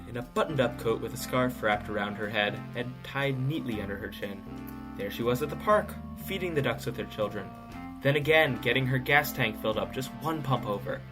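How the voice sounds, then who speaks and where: A man's voice: mid-range voice, natural voice, poor audio. A young man acts as a gossipy narrator of an audio drama, in a mid-range male voice. He tells it knowingly, with wry asides, over faint guitar music. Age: young adult.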